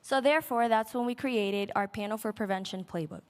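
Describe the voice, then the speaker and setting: A woman's voice: on the high side, brisk. A teenage girl presenting her team's project on stage, reading prepared remarks steadily; her first language is Spanish. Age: teens. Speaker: student presenter.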